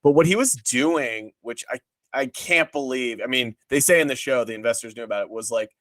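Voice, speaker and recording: A man's voice: mid-range voice, a little fast, a bit bright, no room sound. A man hosting a casual podcast chat about television, explaining things informally with asides. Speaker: podcast host reviewing a TV show.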